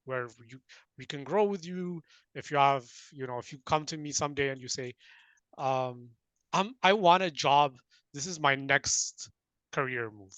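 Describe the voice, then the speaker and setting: A man from Ethiopia hosting a casual interview, hesitant with frequent restarts, then bursts of animated laughter. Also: mid-range voice, dry recording. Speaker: interview host, talent company founder.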